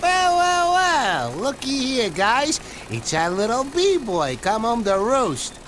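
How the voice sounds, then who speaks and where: A man voicing a smug, folksy hornet henchman who taunts in a drawling, mock-polite way in an animated game. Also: very high-pitched, slow pace, a little hiss or hum, full character voice. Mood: taunting. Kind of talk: animated game villain acting.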